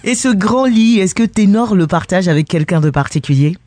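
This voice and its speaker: High-pitched, animated male interviewer. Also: moderate pace, heavy background noise. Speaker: interviewer, radio host. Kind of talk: casual chatty interview.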